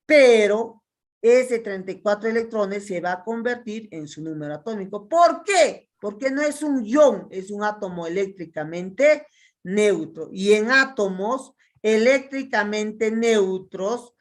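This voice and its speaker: A woman's voice: medium pitch, unhurried. A Peruvian woman teaching a chemistry class, working through exercises and asking her students questions that she often answers herself. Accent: Peruvian Spanish. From Peru. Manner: asks students questions and answers them herself, counting step by step. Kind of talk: classroom lecture solving exercises.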